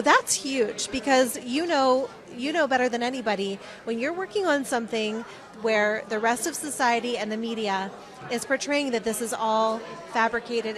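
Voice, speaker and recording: A woman reporter doing a conversational on-location interview, speaking in an effusive, supportive way. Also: fairly high pitch. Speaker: TV correspondent interviewing. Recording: crowd chatter around the voice. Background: crowd at an event.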